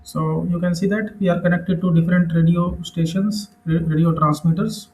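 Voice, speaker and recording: A man with an Indian accent narrating a screen tutorial in a halting, repetitive, explanatory way. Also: sits high, steady tone, darkish tone, a little hiss or hum. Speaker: presenter. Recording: echoey room.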